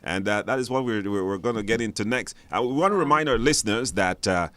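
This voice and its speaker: A man's voice: fairly low pitch, lively intonation, fast, a bit bright, no echo. Man hosting radio, dry studio sound. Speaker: radio call-in show host. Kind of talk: casual live call-in hosting.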